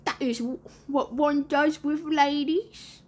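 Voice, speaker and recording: A woman voicing an earnest, excitable little boy in a storybook, with emphatic, drawn-out childlike speech. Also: high voice, pitched above the performer's own voice. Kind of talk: storybook read-aloud character voices.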